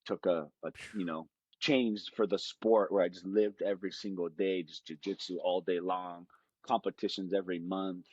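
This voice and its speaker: A man's voice: low-pitched, steady tone, moderate pace, husky, no echo, highs cut off. A man, telling stories in a rambling, easygoing way as a podcast guest. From Hawaii. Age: late 20s. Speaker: guest, jiu-jitsu fighter. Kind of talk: casual podcast conversation.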